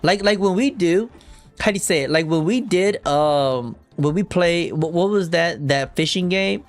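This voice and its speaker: A young man streaming a game, chatting loosely with viewers and reading story text aloud, sometimes in a deep half-whisper. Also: normal speed, soft background music. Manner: rambling, slangy, reads dialogue in a deep whisper. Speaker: gaming streamer.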